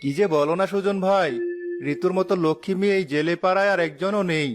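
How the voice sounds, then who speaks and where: An agreeable village fisherman uncle from a cartoon, acted by a man. His voice is high for a man, with faint music underneath, and he is friendly and consoling. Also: fast, close to an everyday voice. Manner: consoling, good-naturedly agreeing. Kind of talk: children's cartoon story acting.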